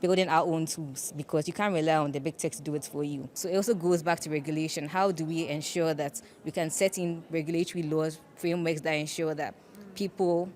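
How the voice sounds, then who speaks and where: A woman's voice: low voice, a little mellow. A woman panelist with a legal background, speaking earnestly and emphatically in a discussion.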